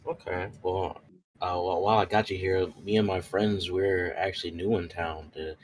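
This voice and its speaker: Low-pitched man in casual roleplay. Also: fairly even intonation, moderate pace, poor audio. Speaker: tabletop roleplay player. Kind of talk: casual in-character dialogue.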